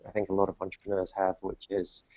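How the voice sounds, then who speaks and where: Brief: man in his thirties from the UK, lowish voice, halting and self-deprecating, dry lo-fi call line. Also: completely flat delivery, a little mellow, no echo. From New Zealand, grew up in the UK. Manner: hesitant, many restarts and fillers, self-deprecating. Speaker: guest entrepreneur. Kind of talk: casual interview answers. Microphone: remote call, dull lo-fi and dry. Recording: dull dry online call audio.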